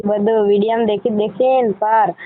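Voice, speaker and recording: Woman on a phone call, medium pitch, insistent little answers, tinny line. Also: fairly even intonation, normal speed. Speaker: caller, admirer. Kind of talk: casual phone chat.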